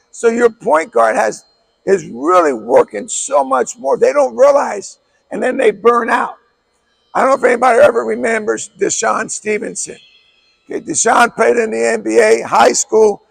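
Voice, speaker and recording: A hoarse man coach with a strikingly high voice, heavily gravelly and raspy throughout. He explains things bluntly and emphatically, hammering points home by repeating key words. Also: poor audio. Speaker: basketball coach. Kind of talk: coaching clinic talk.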